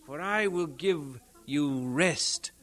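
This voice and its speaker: An actor playing an earnest, halting young English missionary in an audio drama, lovestruck and fervent. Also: male voice, fairly high pitch, fairly bright tone. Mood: preaching. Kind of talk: dramatised radio-play acting.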